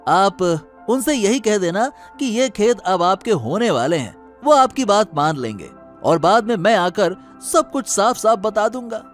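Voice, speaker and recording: A man's voice: high voice, expressive, measured pace, soft background music. A man playing a kind, trusting younger brother who speaks earnestly and respectfully in a cartoon story. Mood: obliging. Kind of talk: Hindi cartoon moral story acting.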